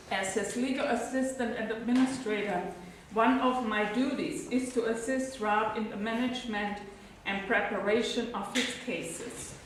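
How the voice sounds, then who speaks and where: German accent woman, legal assistant reading her statement, slightly high voice, picked up far off on a camcorder. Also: steady tone, measured pace, slight background noise. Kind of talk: prepared statement at a hearing. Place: large echoey hearing room. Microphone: recorded from far by a camcorder. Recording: echoey room, distant camcorder mic.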